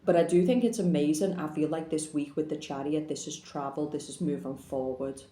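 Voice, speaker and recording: A woman's voice: low voice, a little fast, mellow. Blunt woman reading tarot, echoey room. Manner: blunt, frank, swears casually. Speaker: tarot reader. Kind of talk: casual tarot reading to camera.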